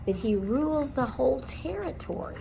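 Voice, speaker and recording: A woman's voice: high voice, very animated, normal speed, creaky, mellow, a little hiss or hum, voice pushed up, full character voice. A woman voicing a timid young mouse girl. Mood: nervous. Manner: timid, hesitant, stammering.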